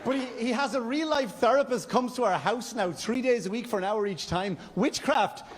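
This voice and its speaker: An Irish man doing stand-up comedy storytelling, with a halting, stammering, repetitive delivery. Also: very high-pitched, breathy edge. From Wexford, Ireland. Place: comedy stage before a live audience. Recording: live club stage, noisy laughing crowd. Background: audience laughter and crowd noise.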